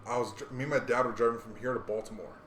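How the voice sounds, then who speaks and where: A man's voice: medium pitch, fairly even intonation, racing, fairly bright tone. An American man from Hawaii chatting casually as a podcast co-host, rambling along with plenty of slang and swearing. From Hawaii, United States. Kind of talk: casual chat. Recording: roomy echo, faint background noise.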